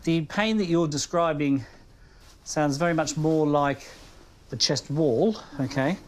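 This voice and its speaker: A calm Australian doctor's voice, a man speaking a little higher than most men. He explains things gently and patiently, checking often that he is understood, in a quiet consulting room. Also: unhurried, a little hiss or hum.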